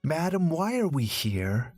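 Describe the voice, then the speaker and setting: An arrogant father in a storybook, voiced by a male reader. He speaks loudly and indignantly, slightly raised in pitch, with a husky edge and an impatient, insulting manner. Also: sing-song, unhurried, faint music behind.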